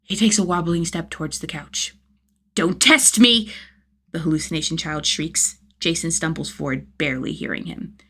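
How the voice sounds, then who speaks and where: A woman reading a story as narrator, in expressive scripted narration. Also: low voice, brisk, husky. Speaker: podfic narrator.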